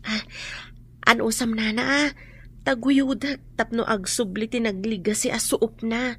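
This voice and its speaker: A woman playing a patient, kindly village massage healer with a Filipino Ilocano accent in a radio drama, soothing and deliberate. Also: fairly high pitch, fairly even intonation, slight background noise. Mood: soothing, businesslike. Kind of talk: radio drama comedy acting.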